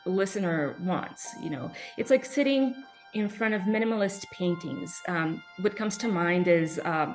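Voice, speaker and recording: A woman from Serbia, a pianist, answering interview questions reflectively with frequent soft fillers and pauses. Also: fairly low pitch, poor audio. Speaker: pianist guest.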